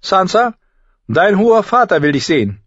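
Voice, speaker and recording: Man voicing a stern, high-pitched governess. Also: lively intonation, moderate pace, dry recording, lo-fi sound. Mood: sharp. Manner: scolding, strict. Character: stern, prim female governess, easily scandalised.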